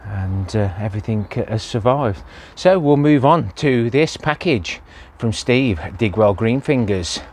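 A man's voice: fairly low pitch, lively intonation, measured pace, few pauses, a little mellow. A man talking casually through an allotment vlog outdoors, with plenty of "uh" fillers. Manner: plain-spoken, many "uh" fillers. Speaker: allotment gardening vlogger. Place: outdoor allotment, in and around a greenhouse.

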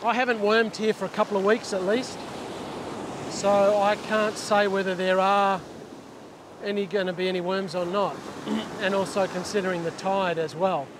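High-voiced man on a windy beach. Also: flat delivery, normal speed. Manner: chatty, explains while working, restarts sentences. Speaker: fishing channel host. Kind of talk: casual instructional vlog. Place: open ocean beach outdoors, surf. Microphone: clip-on lapel mic. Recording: loud surf and wind noise. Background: crashing waves and wind.

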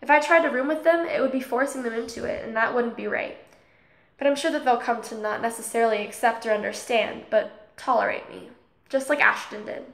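Earnest, high-voiced teenage girl played by a woman. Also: fairly quick, vocal fry. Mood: calm, earnest. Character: earnest, tolerant, principled transgender girl student named Holly. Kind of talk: scripted audio drama dialogue, speech-like monologue.

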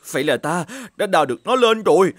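A man voicing a greedy, scheming, gloating treasure hunter in an animated folktale. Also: high voice, noisy background, exaggerated voice. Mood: triumphant.

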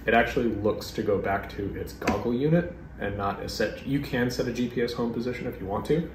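A man's voice: medium pitch, brisk, echoey room, very noisy. A man in his thirties casually showing off video gear in a living room, joking and laughing at himself, recorded on a lapel mic. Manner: jokey, self-mocking, laughs often. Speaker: vlog host. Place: wood-paneled living room indoors. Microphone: clip-on lapel mic.

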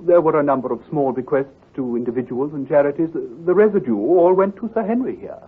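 An obliging country doctor, very husky and breathy, with lively rises and falls. He speaks in a polite, formal radio drama manner. The old recording sounds thin and muffled. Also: a little high, fast. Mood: helpful, musing.

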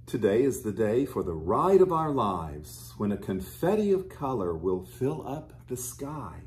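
A middle-aged man reads a children's picture book aloud in a soft, mellow tone. He takes his time, pausing to explain things simply and gently to young listeners. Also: on the low side, very animated. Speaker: pastor. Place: small furnished living room, indoors.

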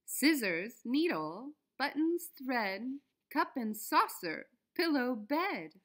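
Woman reading a picture book, slowly and animatedly. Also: high voice. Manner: deliberate, expressive reading for young children. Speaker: children's book reader. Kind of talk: storybook read-aloud.

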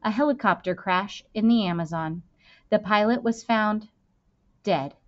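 A woman voicing a tall, gaunt, grave headmaster in a storybook reading, solemn and halting. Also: mid-range voice, lightly acted. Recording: dull, lo-fi webcam-style sound.

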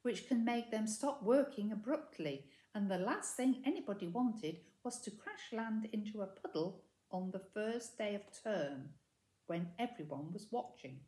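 A woman's voice: medium pitch, lively intonation, a little slow, warm tone. Older woman reading a story, roomy echo. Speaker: storybook reader. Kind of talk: expressive children's story narration. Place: indoors, living room by a window. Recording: echoey room.

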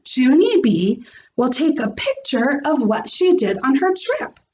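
A woman plays a kindly storybook schoolteacher, patient and encouraging. The delivery is measured and animated, explaining things in an upbeat way. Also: high-pitched, highs cut off, a little hiss or hum, pitched above the performer's own voice. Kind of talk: expressive storybook read-aloud.

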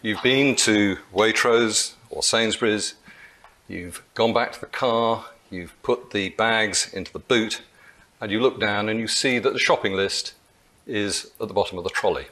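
A man's voice: lowish voice, measured pace, sharp tone. A man in his sixties giving a lecture at a lectern, telling an anecdote with dry, wry humour, picked up by a lectern mic.

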